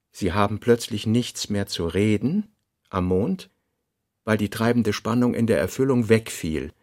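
Brooding male novelist, self-critical, lowish voice, deliberate fragmentary phrasing, literary radio drama. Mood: pensive. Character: brooding male novelist reading his own self-critical working notes. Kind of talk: literary radio play monologue, reading notes.

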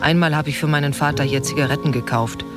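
Man, slightly high voice, plain explanations, music in the background. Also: fairly even intonation, fast talker. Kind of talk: recollection in interview.

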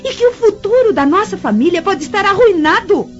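A woman's voice: extremely high pitch, expressive, a little fast. An actress with a Brazilian accent playing a spoiled, insolent young Roman noblewoman in a radio drama, petulant and biting. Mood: outraged. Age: young adult. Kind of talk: melodramatic radio drama acting. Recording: lo-fi radio drama, music behind.

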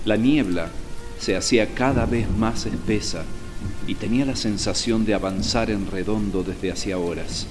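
A male narrator tells a scripted radio play in a fairly low voice. Prominent music and rain effects run behind him. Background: music and rain sounds.

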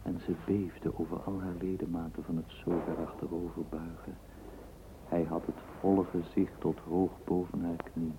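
A man narrating a literary radio play in a measured, dramatic storytelling manner. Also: low voice, flat delivery. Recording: tinny, narrowband old radio tape, faint hiss.

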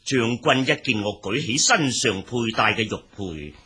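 A man's voice: on the low side, lively intonation, moderate pace, sharp tone, slightly rough, full character voice. A man playing a ruthless, calculating old military adviser in a Chinese historical radio drama, speaking with theatrical emphasis. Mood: scheming.

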